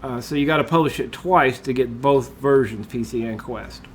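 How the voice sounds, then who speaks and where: A man, congested from a cold, talking through a screen-recorded software tutorial in a relaxed way, with lots of uh and um. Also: mid-range voice, normal speed, a little hiss or hum. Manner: relaxed, with frequent uh and um fillers. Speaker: tutorial host.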